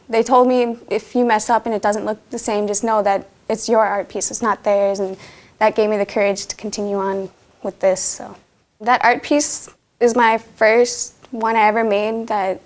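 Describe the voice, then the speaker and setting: A woman student speaking plainly and reflectively in a documentary interview, with some fillers. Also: a little high.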